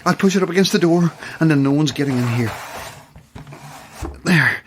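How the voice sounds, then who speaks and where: A woman with an Irish accent playing a frightened but commanding late-night radio host in a naturalistic horror audio drama, urgent and halting. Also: deep voice, lively intonation, slight background noise. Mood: frantic. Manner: urgent, halting, gives orders.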